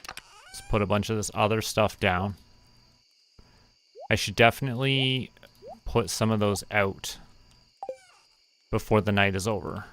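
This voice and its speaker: A young man streaming a relaxed game, talking to himself and counting under his breath as he plans. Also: lowish voice, unhurried, long pauses, slight background noise. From Canada. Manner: muttering, counting to himself while planning. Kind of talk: relaxed gameplay commentary.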